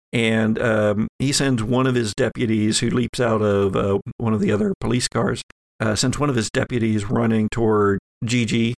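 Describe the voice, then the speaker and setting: A man improvising tabletop game narration as game master, halting as he searches for his words. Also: mid-range voice, creaky, no echo.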